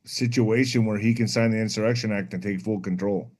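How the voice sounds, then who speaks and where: A man's voice: lowish voice, fairly even intonation, fairly quick, darkish tone. Blunt, pessimistic man from the border. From US-Mexico border, El Paso and Mexico. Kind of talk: casual political chat.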